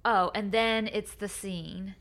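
A woman's voice: mid-range voice, fairly even intonation, slow pace, a bit bright. A middle-aged woman co-hosting a podcast, chatting casually and animatedly in long run-on stretches, laughing often. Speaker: podcast co-host. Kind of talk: casual chat recapping a reality show.

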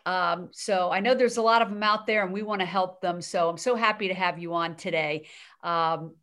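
A woman's voice: fairly low pitch, steady tone, moderate pace, fairly bright tone. A woman hosting a podcast interview, talking in an upbeat, enthusiastic way and cutting in to stress her guest's points. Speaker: podcast host. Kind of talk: casual interview chat.

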